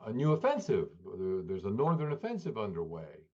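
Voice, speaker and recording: A man giving spoken political analysis as an interview guest, hesitant and often pausing mid-sentence. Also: mid-range voice, huge pitch swings, darkish tone, dull audio.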